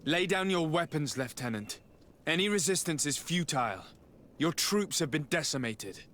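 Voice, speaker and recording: An actor playing a calm, authoritative male diplomat in a video game, speaking formally. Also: a little high, a little hiss or hum. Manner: measured, formal.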